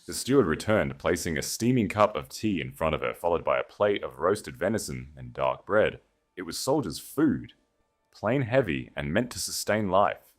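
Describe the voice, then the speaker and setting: Very low male voice, audiobook narration, measured and solemn, lively intonation, faint music underneath. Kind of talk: scripted dramatic fiction narration.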